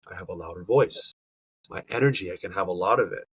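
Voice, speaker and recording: A man's voice: sits low, fast, fairly bright tone, slightly rough. A man on a podcast, talking earnestly and emphatically with a stuffy nose from allergies, often searching for words. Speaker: podcast co-host. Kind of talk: casual podcast conversation.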